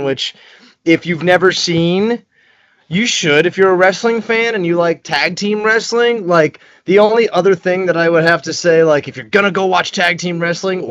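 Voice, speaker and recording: High-voiced, swearing man on a video call. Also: no room sound, muffled recording. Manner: loud, profane and joking. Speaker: wrestler guest. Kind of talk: casual video call chat. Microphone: video call webcam.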